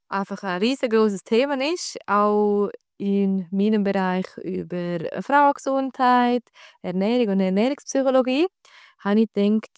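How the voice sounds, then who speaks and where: A woman with a Swiss accent hosts a podcast conversation. Her voice is clean and smooth, recorded very dry. She talks informally and with enthusiasm. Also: mid-range voice, no room sound. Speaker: podcast host and women's health coach.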